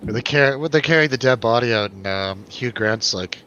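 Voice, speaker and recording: A man's voice: medium pitch, normal speed, sharp tone. A man hosting a casual film review show in a jokey, irreverent way. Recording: dull lo-fi, faint background noise.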